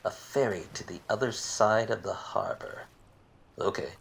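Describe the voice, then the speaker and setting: An actor playing an obtuse, wisecracking private detective in a parody of 1940s radio plays, wry and world-weary. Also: male voice, on the low side, a little slow, creaky. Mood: brooding narration.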